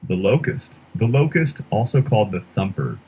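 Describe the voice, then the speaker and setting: A man narrating a scripted horror explainer in a measured, dramatic storytelling way. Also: lowish voice, very animated, darkish tone, husky.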